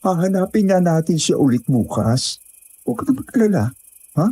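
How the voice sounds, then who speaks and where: A man playing a steady young hiker in an audio drama, calming his friends. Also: high voice, brisk, husky, full character voice. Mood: soothing.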